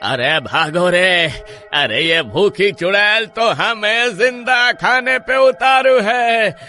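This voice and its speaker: A man's voice: high-pitched, takes it slowly, pushed and bright, faint music behind, full character voice. A man playing panicky, cursing villagers in a horror story, exclaiming melodramatically. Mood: panicked pleading. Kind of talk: exaggerated Hindi story character acting.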